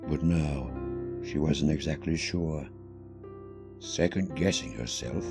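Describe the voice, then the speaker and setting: A man reading a scripted story as narrator, in an expressive, dramatic manner. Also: deep voice, gravelly. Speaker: narrator of a fan fiction reading.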